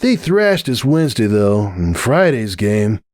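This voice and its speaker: A young cartoon pegasus jock, played by a man, pitched well up with lively swings. He grumbles wryly and dramatically, sounding strained and wheezy. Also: a little slow, no echo, studio quality. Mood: resigned. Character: young male cartoon pegasus athlete, wry, stoic sore grumbler.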